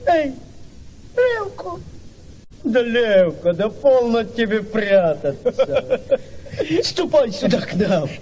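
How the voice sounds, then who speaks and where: A man's voice: extremely high pitch, sing-song, slow, a little mellow, heavily acted, poor audio. An actor playing a boisterous young village lad out carousing in a radio play, hollering to his friends. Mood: rowdy calling. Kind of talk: radio play acting, calling out.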